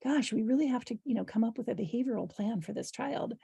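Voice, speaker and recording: A woman with a hoarse, husky voice giving an informal instructional presentation as an educator, explaining in a conversational way with practical examples. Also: on the high side, fast, mellow, dry recording. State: hoarse voice, clearing her throat. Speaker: early childhood educator leading a training.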